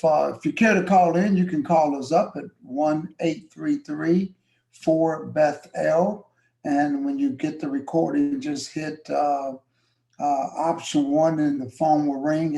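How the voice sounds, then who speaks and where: A man's voice: a little high, creaky, mellow. A male host of a call-in talk show, chatting in a friendly, conversational way and often affirming the guest. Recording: echoey room with faint music.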